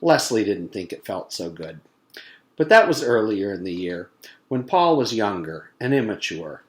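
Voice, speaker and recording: A man, a teacher, reading a storybook aloud. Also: fairly low pitch.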